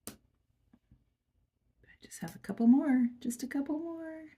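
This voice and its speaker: A woman host chatting softly to viewers while doing a craft project, her nose stuffy, recorded on a phone at her workplace. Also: high-pitched, measured pace. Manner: soft, chatty, murmuring to viewers. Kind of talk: casual chat while crafting. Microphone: phone camera.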